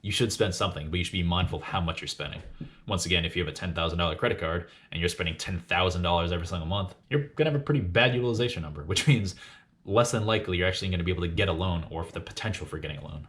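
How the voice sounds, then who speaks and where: A man's voice: deep voice, rapid-fire, fairly bright tone, slight background noise. A man in his twenties hosting a personal finance video, explaining tips to viewers in a casual, instructive, emphatic way. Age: 20s. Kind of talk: explanatory list-style talk to viewers.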